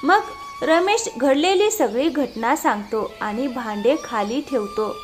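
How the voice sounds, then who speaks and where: A woman's voice: high-pitched, expressive, slow pace, soft background music. A woman narrating a scripted cartoon story.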